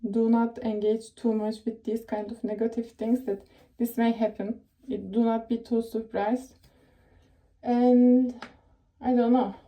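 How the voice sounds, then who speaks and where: A young woman with a Turkish accent talks through career tips in loose, meandering sentences, stopping often to correct herself. Her voice sits fairly high, yet it sounds very dark and soft rather than bright. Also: level tone, a little slow, low-quality recording. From Turkey. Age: young adult. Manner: rambling, self-correcting, chatty with frequent fillers. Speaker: tech professional giving advice. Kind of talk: casual advice vlog.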